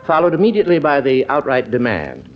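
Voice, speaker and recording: A man's voice: mid-range voice, huge pitch swings, fast talker, faint music behind. An actor with an American accent playing a wry, stubborn middle-aged doctor father in an old-time radio family comedy, dry and mock-patient. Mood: wry narration. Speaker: narrator and lead character. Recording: muffled old radio broadcast recording.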